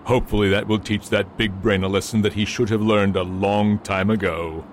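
A man playing a gruff, curt detective in a radio mystery, speaking with deliberate weight. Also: fairly even intonation, natural voice. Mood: satisfied. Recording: clear rumbling ambient noise.

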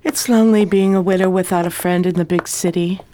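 An actress playing a polite, curious 1920s widow with a taste for adventure in a radio play. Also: female voice, fairly low pitch, fairly even intonation, a little fast, dark tone, slight background noise, close to an everyday voice. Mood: polite, sociable.

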